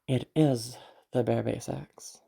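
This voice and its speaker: Low, creaky-voiced, protective young woman acted. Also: dark tone, exaggerated voice. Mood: modest, earnest. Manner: reassuring, halting, sighing, casually sweary. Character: protective, mysterious, easygoing big sister escorting a new acquaintance. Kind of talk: intimate audio roleplay addressed to the listener.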